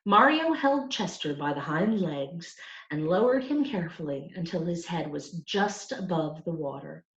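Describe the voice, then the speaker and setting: A woman reading a children's novel aloud as a storyteller, with an animated, deliberate delivery. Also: lowish voice. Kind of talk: expressive scripted audiobook narration for children. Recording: roomy, dull lo-fi home recording.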